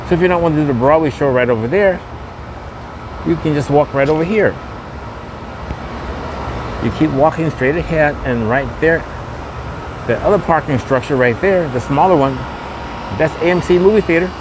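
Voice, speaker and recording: Man narrating outdoors over street noise. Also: a little high. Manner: repetitive tour-guide patter. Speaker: podcast host and vlogger. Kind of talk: casual walking tour narration. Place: outdoors on a windy street at a shopping mall. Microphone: camera microphone with a wind guard.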